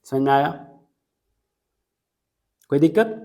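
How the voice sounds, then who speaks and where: A man's voice: fairly high pitch, animated, takes it slowly, a little mellow. A man teaching a poem line by line, switching between Hindi and English and often checking that students follow. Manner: mixes Hindi and English, checks understanding, repeats key lines. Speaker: literature teacher. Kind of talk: classroom lecture explaining a poem line by line.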